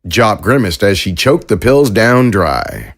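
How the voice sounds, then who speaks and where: A man narrating a science fiction story in a measured, even storytelling manner. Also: lowish voice, vocal fry. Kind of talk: scripted audiobook narration.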